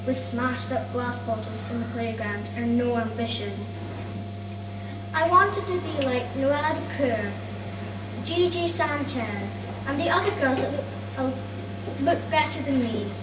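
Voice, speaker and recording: A young schoolgirl of about nine reading a children's book aloud to an audience, carefully, with small stumbles. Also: high-pitched. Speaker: schoolgirl reader. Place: cafe event room, indoors. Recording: echoey room, distant, noisy with hum.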